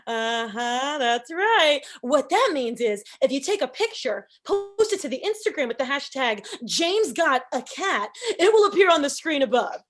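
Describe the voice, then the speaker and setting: A woman's voice: high-pitched, very animated, moderate pace, few pauses. A woman acting an effusive wedding announcer in a comedy table read, upbeat and gushing. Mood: cheery.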